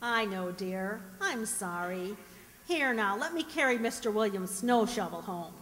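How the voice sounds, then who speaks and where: A woman playing a patient, gently teasing wife in an old-time radio comedy, genteel and affectionate. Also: mid-range voice, lively intonation, unhurried. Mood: soothing. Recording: reverberant community stage hall.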